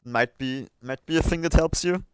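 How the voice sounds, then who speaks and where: A man's voice: on the high side, normal speed, clear, resonant tone, no room sound. A man hosting a casual livestream chat, thinking aloud and hesitating as he goes. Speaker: livestream host.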